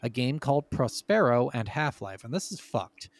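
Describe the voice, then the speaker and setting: A man's voice: medium pitch, sing-song, moderate pace, dry recording, studio quality. A man hosting a podcast, talking casually and emphatically from his notes, with plenty of swearing. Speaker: podcast host.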